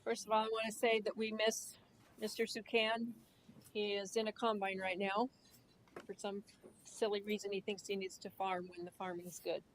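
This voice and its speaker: A woman's voice: medium pitch, little pitch movement, moderate pace, a little hiss or hum, poor audio. A woman chairing a school board meeting in a conference room, casual and joking as she runs the agenda. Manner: casual, plain-spoken and joking.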